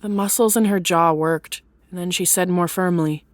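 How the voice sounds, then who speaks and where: A woman reading scripted third-person story narration in an expressive storytelling manner. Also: fairly low pitch, moderate pace, dry recording.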